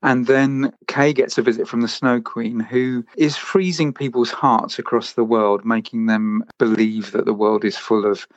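A man's voice: mid-range voice, fairly even intonation, a little slow, hardly any pauses, no room sound. Man explaining, dry muffled recording. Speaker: theatre creative director and pantomime writer, interview guest. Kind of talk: conversational interview answers explaining a show. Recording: slightly dull, band-limited sound.

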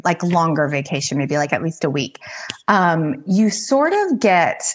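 A woman with a slightly low voice talks as a life coach on a podcast. She gives advice in a casual, conversational way, using informal phrasing and plenty of "like". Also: expressive, measured pace. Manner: informal, heavy use of "like".

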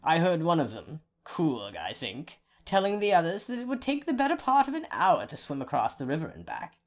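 A man doing a cocky young son, lively rising voice, proud storyteller. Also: a little high, moderate pace, pitched above the performer's own voice. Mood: boastful. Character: young man, clever and boastful student son.

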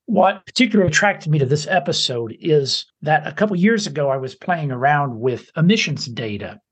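A man co-hosting a podcast, reading an introduction in a measured, slightly halting way. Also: a little high, animated, breathy edge. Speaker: podcast co-host.

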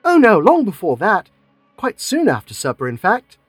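A man's voice: high-pitched, lively intonation, moderate pace, no room sound, soft background music, pitched above the performer's own voice. A man voicing a nervous, genteel English lady who talks in a plaintive, fluttery way.

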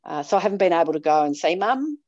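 A woman's voice: on the low side, animated, fast, darkish tone, no room sound, highs cut off. A middle-aged Australian woman, a priest, talking in a chatty, emphatic way with frequent ums. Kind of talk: casual chat.

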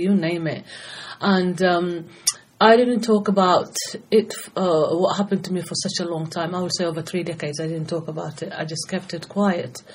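A woman's voice: on the low side, breathy edge. A middle-aged woman from Somalia, a guest speaking earnestly and passionately in a podcast interview. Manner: earnest, passionate, talkative, frequent restarts. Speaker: guest, anti-FGM campaigner. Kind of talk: podcast interview, personal testimony.

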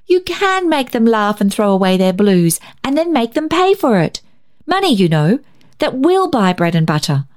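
A woman reading a story, voicing an eager, excitable girl who speaks in urgent exclamations. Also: a little high, normal speed, voice pushed up. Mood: eager urging.

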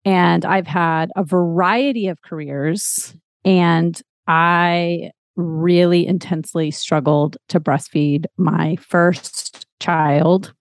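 A woman's voice: fairly low pitch, fairly even intonation, takes it slowly, no room sound. Earnest woman in her forties, dry sound. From Texas. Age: 40s. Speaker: lactation counselor guest. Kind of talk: podcast interview, personal storytelling.

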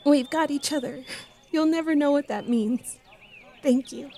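A tender young woman in an audio drama, acted by a woman, with a fairly high voice. She sounds heartfelt and emotional, like someone shaken who still cares for her friend. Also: unhurried, dark tone. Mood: grateful, moved.

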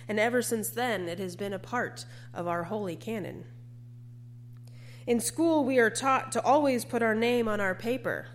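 A woman preaching a sermon to a congregation. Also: medium pitch. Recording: faint background noise and hum.

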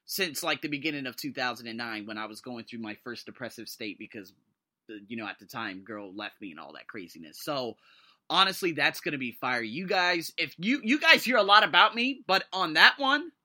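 A man in his thirties hosting a solo motivational podcast, talking in an excitable, emphatic way with his voice leaping up when he gets thrilled. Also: medium pitch, fairly quick. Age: 30s. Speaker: podcast host.

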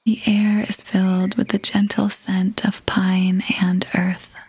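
A woman leading a scripted guided meditation in a soft, breathy, whispery voice, soothing and gentle, with long pauses. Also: medium pitch, little pitch movement, no echo, poor audio. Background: music.

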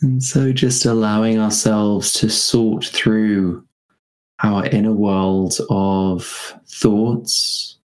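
A man guiding a meditation in a gentle, unhurried manner, leaving spacious pauses between short phrases. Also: on the low side, little pitch movement. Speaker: meditation guide. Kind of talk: guided meditation.

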